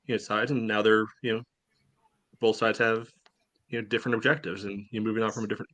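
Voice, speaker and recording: A man's voice: fairly low pitch, flat delivery, fast, fairly bright tone. A man co-hosting a casual sports podcast, with dry humor and frequent "you know"s. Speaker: podcast co-host.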